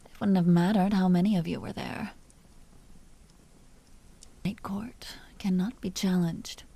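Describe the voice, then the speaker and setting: Vampire duchess, played by a woman: seductive, imperious and teasing, dark soft tone, unhurried, intimate audio roleplay with whispered phrases. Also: fairly low pitch, fairly even intonation, exaggerated voice. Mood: cold, wistful. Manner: slow, breathy delivery dropping often into whispers. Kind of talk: scripted audio roleplay, intimate monologue to listener.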